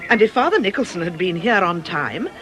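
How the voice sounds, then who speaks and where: A woman's voice: fairly low pitch, very animated, a little fast, fairly bright tone, faint music behind. A woman with a British accent playing a polite, earnest, hesitant church deaconess in a radio drama. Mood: primly reproachful.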